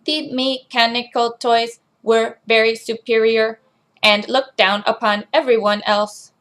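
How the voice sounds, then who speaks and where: Woman doing a storytelling robot voice, fairly high. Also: level tone, measured pace, a bit bright. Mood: mechanical, measured. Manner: robotic delivery. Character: storytelling robot reading a children's book aloud. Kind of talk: scripted storybook narration.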